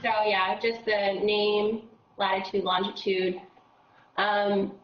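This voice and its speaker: A woman with a froggy voice leading a casual software training session, explaining steps with lots of fillers. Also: mid-range voice, level tone, takes it slowly, roomy sound, lo-fi sound. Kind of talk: casual screen-share training.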